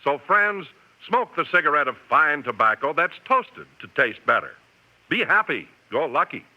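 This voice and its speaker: Male radio announcer, 1950s sponsor pitchman, highish animated read, slightly muffled vintage broadcast. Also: moderate pace, heavy background noise.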